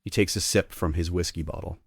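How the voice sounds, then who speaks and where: A man with a deep voice reads stage directions for a play. His scripted narration is recorded dry and close. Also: fairly even intonation, a little fast, slightly rough, no room sound. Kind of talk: scripted narration at a table read.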